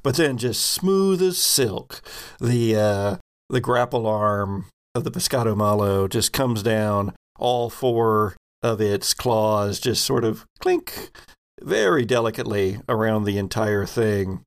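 A man improvising narration as a tabletop game master, describing scenes in a conversational, halting way. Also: fairly low pitch, clean tone.

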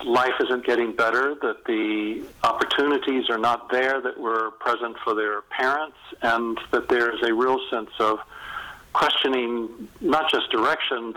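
Bright-voiced man, reflective interview guest. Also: medium pitch, low-quality recording. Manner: reflective, explanatory. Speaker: novelist, podcast guest. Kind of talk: conversational interview.

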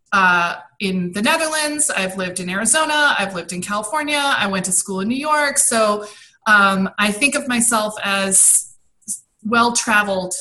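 A woman in her thirties, a style coach, answering interview questions in a chatty way with many pauses and ums. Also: mid-range voice, sharp tone, rough recording. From grew up abroad and in Maryland, US. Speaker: guest, personal style coach. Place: home in Maryland.

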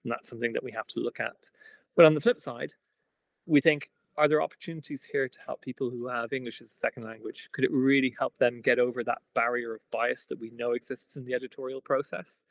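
A man answering as a guest expert on a podcast, explaining fluently and quickly. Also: mid-range voice, steady tone, gravelly, no room sound.

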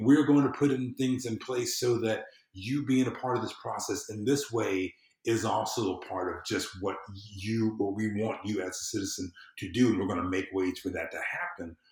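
Low male voice, American accent, earnest emphatic podcast talk, halting repetitions, roomy echo. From southern United States. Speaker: podcast guest, civic empowerment consultant. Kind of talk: casual podcast conversation. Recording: roomy, echoey sound.

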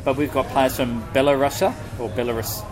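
A man co-hosts a relaxed podcast and reads a guest's written answers aloud. He talks in a loose, joking way and swears casually. Music plays clearly beneath his voice. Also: medium pitch, a little fast, rough recording. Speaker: podcast co-host. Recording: music playing underneath.

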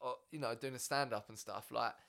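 A man's voice: medium pitch, steady tone, brisk, vocal fry, bright, forceful tone. A man with an Essex accent, a stand-up comedian chatting as a podcast guest, rambling with lots of filler words and casual swearing. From Essex, England.

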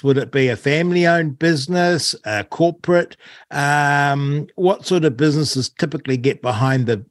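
A man's voice: a little high, no room sound, studio quality. A man hosting an interview, asking curious, probing questions. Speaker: interviewer, host.

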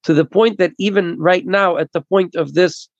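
A man interpreting at a recovery meeting over a video call, halting and restarting his phrases. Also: high-pitched, fairly even intonation, dry recording. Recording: dull lo-fi video call audio.

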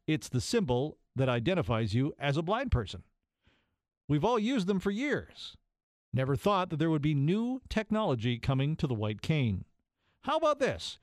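A man's voice: a little high, a little mellow, dry recording, clean studio sound. A man hosting a show, upbeat and conversational, interviewing a guest. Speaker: show host. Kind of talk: podcast interview.

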